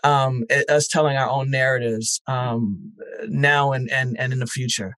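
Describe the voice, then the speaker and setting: A man's voice: medium pitch, steady tone, unhurried, fairly bright tone, no echo. Earnest, thoughtful man with a dry voice. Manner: thoughtful, earnest, many "um"s and restarts. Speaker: podcast festival founder, guest. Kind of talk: casual interview talk.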